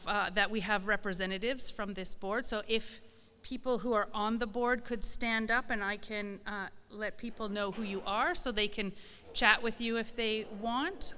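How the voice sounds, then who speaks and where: A woman's voice: medium pitch, bright, forceful tone, clear, resonant tone. A woman hosting a live event, making informal announcements in a hesitant, improvised way. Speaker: event host and presenter. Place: large indoor event space, live on stage. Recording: echoey hall, live event PA.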